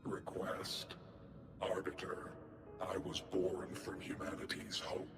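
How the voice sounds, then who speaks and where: Deep, flat, echoing guardian mech. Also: male voice, takes it slowly. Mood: solemn, earnest. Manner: formal robotic phrasing, announces clipped one-word headers before statements. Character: solemn giant guardian mech, dutiful and slowly learning feeling. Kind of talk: video game character acting. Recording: cavernous echo with music underneath. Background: game music.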